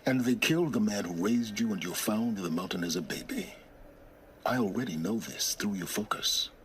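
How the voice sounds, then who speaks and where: An actor playing a secretive middle-aged scholar in a video game, speaking in a measured, condescending, cutting way. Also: male voice, lowish voice, a little hiss or hum, lightly acted. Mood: cold, cutting. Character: secretive, impatient, scornful middle-aged male scholar of ancient ruins. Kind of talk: video game dramatic acting.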